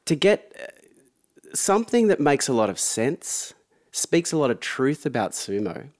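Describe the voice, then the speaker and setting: A man's voice: mid-range voice, big pitch swings, a little slow, a little mellow. A man hosting a podcast at home, chatting casually and wryly with a stuffy, blocked-up nose from a cold. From Australia. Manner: chatty, wry and enthusiastic. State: congested from a cold. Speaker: podcast host. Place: lounge room at home.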